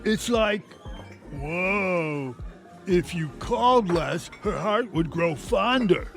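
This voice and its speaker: A man playing a spacey, laid-back bartender who drawls in stoner slang with a creaky voice. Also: high voice, expressive, slow, faint music behind, full character voice. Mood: spacey, musing.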